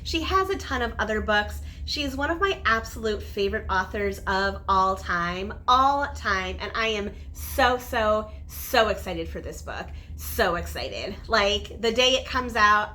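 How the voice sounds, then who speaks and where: A woman's voice: medium pitch, lively intonation, a little slow, hardly any pauses, sharp tone, a little hiss or hum. A woman giving a casual, enthusiastic review to camera as a vlogger, stressing and repeating words for emphasis.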